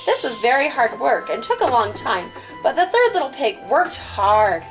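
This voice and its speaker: Female children's storyteller and host, high-voiced with big swings in pitch, over music. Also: big pitch swings, normal speed, low-quality recording. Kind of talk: animated storybook narration for children.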